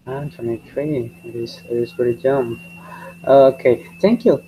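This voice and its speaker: A man teaching a beginner English class. He speaks haltingly and repeats each question several times. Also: medium pitch, fairly even intonation, mellow. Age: 20s. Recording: dull lo-fi audio with faint noise.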